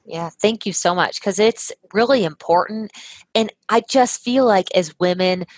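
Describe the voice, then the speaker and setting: A woman's voice: medium pitch, measured pace, dry recording. Woman guest, earnest and emphatic, recounting her story, dry close sound. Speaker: guest, health practitioner. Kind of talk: conversational storytelling.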